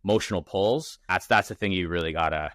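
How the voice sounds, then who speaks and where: A man of thirty, a startup founder as podcast guest, talking in a casual, energetic way with many restarts and fillers. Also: low-pitched, a little fast, no echo, clean studio sound. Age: 30s. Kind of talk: casual interview conversation. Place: Toronto.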